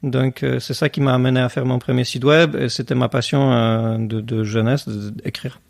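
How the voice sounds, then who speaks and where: A man's voice: mid-range voice, flat delivery, normal speed, creaky, no room sound, studio quality. A man, a podcast guest talking casually and hesitantly with many fillers. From Poland. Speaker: guest, tech company cofounder.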